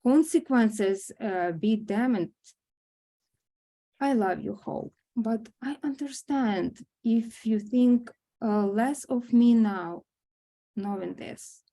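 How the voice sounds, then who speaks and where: Woman as loving grandma in a drama read, slow and mellow, bit high. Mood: confessional, remorseful.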